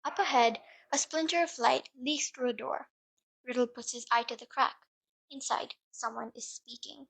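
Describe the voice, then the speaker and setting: A woman's voice: sits high, moderate pace, vocal fry, fairly bright tone, husky. A woman narrating an audio drama from a script in a dramatic storytelling style.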